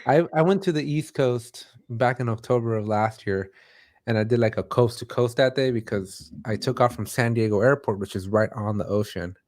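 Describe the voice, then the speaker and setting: A man speaks as the host of a casual interview. His pitch is average for a man, and he talks in an informal, chatty style. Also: normal speed, a little mellow.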